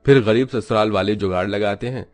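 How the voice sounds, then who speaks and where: Guy narrating a moral story from a script, fairly low voice. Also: fairly quick, faint music behind.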